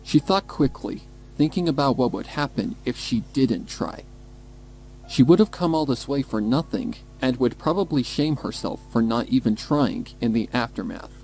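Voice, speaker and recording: A man narrating a dramatic scripted story in a measured, suspenseful manner. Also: mid-range voice, expressive, a little mellow, slight background noise, poor audio. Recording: music behind the voice. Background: music.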